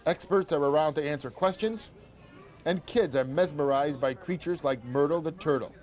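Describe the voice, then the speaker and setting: A man's voice: on the high side, normal speed, darkish tone. Upbeat older man narrating a travel show. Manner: upbeat, conversational tour-guide narration.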